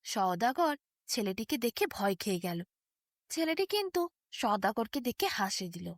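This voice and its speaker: A woman narrating a scripted bedtime fairy tale in an expressive, descriptive storytelling manner. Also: fairly high pitch, a little slow, no room sound, studio quality.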